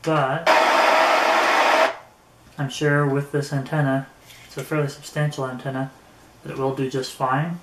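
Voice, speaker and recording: A man talks through a product demonstration in a casual, unscripted way. His delivery is flat and a little halting as he thinks aloud. The room around him is echoey, with faint noise underneath. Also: mid-range voice. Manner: halting, thinking aloud while handling items. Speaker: product reviewer. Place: indoor room.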